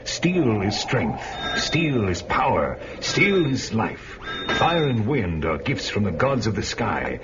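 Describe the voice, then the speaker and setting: A stern blacksmith father. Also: on the low side. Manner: solemn, declaiming. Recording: dull old radio audio, hiss.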